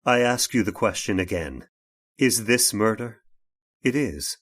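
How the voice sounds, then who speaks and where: An actor playing an anguished, vengeful man in an old time radio horror drama, speaking haltingly with dramatic pauses. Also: lowish voice, expressive, fairly bright tone. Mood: menacing. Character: anguished man turned vengeful robot, narrating his horror tale. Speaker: narrator and lead actor.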